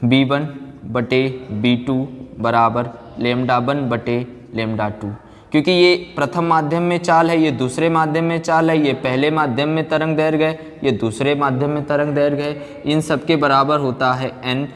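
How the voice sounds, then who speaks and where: A man's voice: on the high side, fairly even intonation, a little slow. A man teaching a physics class like a lecturer, asking questions and answering them himself. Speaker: science teacher. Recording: very reverberant room, background noise.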